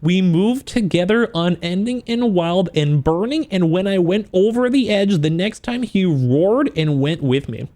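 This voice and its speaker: A man's voice: high voice, expressive, measured pace, hardly any pauses, mellow. A man livestreaming a book reaction, switching between reading aloud and informal, joking, profane asides to his chat in a self-deprecating way.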